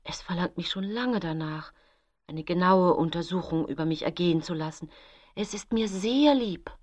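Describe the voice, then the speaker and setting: An actress voicing formal, pointed characters from a nineteenth-century case in a radio play. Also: female voice, fairly low pitch, normal speed, darkish tone, no echo, dull audio, close to an everyday voice. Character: characters quoted in a 19th-century poisoning case, formal and sharp.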